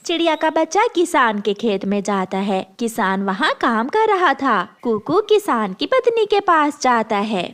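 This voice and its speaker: Woman narrator, children's cartoon story, high pitch, lively melodic delivery. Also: a little slow, few pauses.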